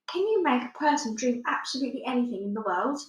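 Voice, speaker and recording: Woman playing a high, emphatic, spirited little girl. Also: animated, fast talker, echoey room, lightly acted, low-quality recording. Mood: determined. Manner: emphatic, exclamatory. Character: spirited, indignant, determined young orphan girl.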